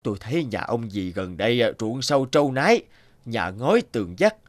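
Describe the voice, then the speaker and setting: A man voicing a courteous but commanding king in a folk tale cartoon, speaking in a measured, formal manner. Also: medium pitch, sing-song, no room sound, clean studio sound, faint music behind, close to an everyday voice. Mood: polite, inquisitive. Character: disguised king, courteous as scholar, stern when commanding.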